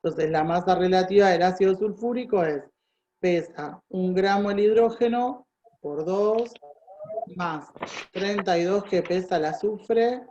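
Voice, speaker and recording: A woman's voice: on the low side, measured pace, mellow. A woman tutoring chemistry, patiently working through calculations step by step and thinking aloud. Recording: dull lo-fi sound.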